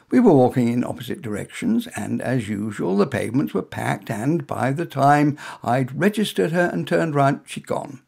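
A man's voice: medium pitch, vocal fry, darkish tone. A middle-aged man reading his own short story in the first person, in a dry, wry, conversational way, full of asides and hesitations.

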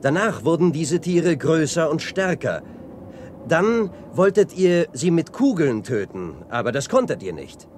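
An actor playing an earnest, decisive young hero in a radio play, explaining and giving directions with urgency. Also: male voice, fairly high pitch, very animated, measured pace, a little mellow, a little hiss or hum.

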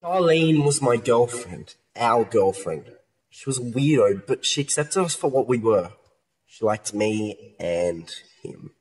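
An actor playing a troubled murder suspect with two personalities, brooding and erratic, in a modern radio play. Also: male voice, lowish voice, a little mellow, slightly rough, rough recording.

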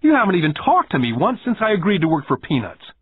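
A man's voice: mid-range voice, huge pitch swings, brisk, husky. A man voicing an indignant, pouting nine-year-old boy who blurts out aggrieved complaints in an audiobook. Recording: muffled, phone-like narrow band.

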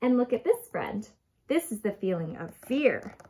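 A woman in her thirties reading a picture book aloud to young children in an expressive, dramatic way, stressing key words and addressing her listeners directly. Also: medium pitch, slightly rough, rough recording. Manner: dramatic gasps and emphatic, drawn-out key words. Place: small furnished room at home, indoors.

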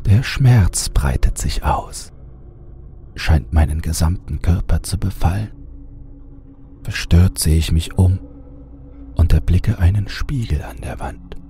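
Male horror audiobook narrator: low, mellow, theatrical delivery with hushed moments, faint music underneath. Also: takes it slowly, pauses often.

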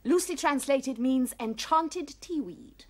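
A woman's voice: high voice, expressive, a little slow. A woman voicing a tyrannical, power-hungry cartoon queen who speaks imperiously and drops into hissing whispers. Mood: gloating. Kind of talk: cartoon voice acting.

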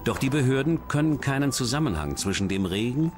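A man's voice: medium pitch, sing-song, brisk. A man reading scripted true-crime documentary narration as the narrator. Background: background music.

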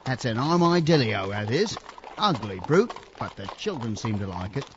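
A man plays a talkative English country cart driver with a working-class accent and very animated pitch. He is folksy and admiring, in an audio drama on a dull recording with clear noise. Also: moderate pace, natural voice. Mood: eager, chatty. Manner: folksy, garrulous, colloquial. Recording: dull, noisy old radio-style recording.

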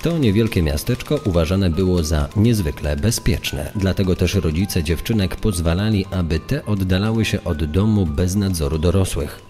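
A man's voice: low-pitched, expressive, moderate pace, few pauses, dark tone. A man reading scripted documentary narration. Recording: music bed behind the voice.

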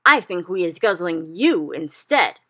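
A woman voicing furious man-eating male giants who shout and bellow. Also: fairly low pitch, sing-song, a little slow, breathy edge, full character voice.

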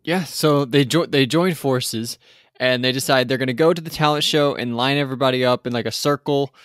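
A man's voice: medium pitch, brisk, no echo, studio quality. A man co-hosting a podcast, chatting casually through an episode recap with lots of hesitant restarts. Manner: rambling, hesitant, many restarts. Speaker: podcast co-host.

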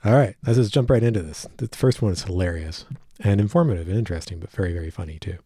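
A man's voice: deep voice, fast, dark tone, breathy edge, no room sound, clean studio sound. A man with a headset mic hosting a reaction video, chatting casually and chuckling along. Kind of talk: casual commentary over a played video.

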